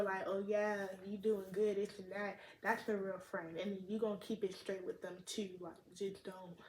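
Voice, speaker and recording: A teenage girl with a slight lisp, chatting casually and rambling in slang as a vlogger, recorded on a tablet. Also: mid-range voice. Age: teens. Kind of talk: casual chat to camera. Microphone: tablet camera mic. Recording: echoey room, faint noise.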